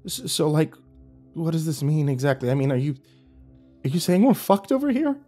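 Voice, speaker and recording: Man doing a whiny, high-pitched panicky cameraman. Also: animated, a little fast, a little mellow, soft background music. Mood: fearful. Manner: squealing, stammering, breathless protests. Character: whiny, panicky male cameraman, frightened and defensive. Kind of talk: audio horror story character dialogue.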